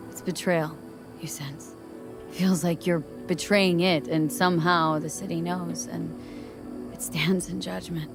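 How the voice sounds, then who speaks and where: A woman's voice: lowish voice, fairly even intonation, measured pace, over music. An actress playing a young female mercenary in a video game, speaking in a casual, clipped, wry way. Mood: reassuring, reflective.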